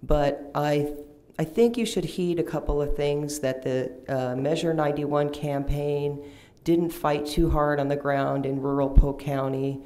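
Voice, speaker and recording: A woman in her fifties, a union lobbyist, giving confident but halting testimony with many pauses at a county meeting through a table microphone. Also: low voice, fairly even intonation, dark tone. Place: county commission hearing room, indoors. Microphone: table microphone, seated.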